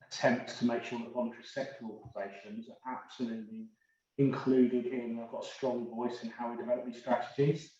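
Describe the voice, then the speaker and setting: A man speaks as a panellist in earnest, unscripted fashion. His delivery is fairly flat and his tone mellow, while the sound is heavily echoed and distant from the microphone. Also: mid-range voice, a little fast, muffled recording.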